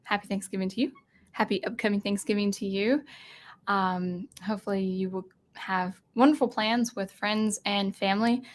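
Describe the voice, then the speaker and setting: A woman hosting a casual livestream chat, talking off the cuff with fillers and restarts, at a desk mic in a home studio. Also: mid-range voice. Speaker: livestream host.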